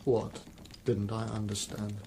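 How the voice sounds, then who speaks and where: A man playing a confused, sorrowful monk in a radio drama, halting and anguished in speech. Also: fairly low pitch, little pitch movement, dark tone, husky. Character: troubled, confused, sorrowful male monk, memory lost. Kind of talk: BBC radio drama acting.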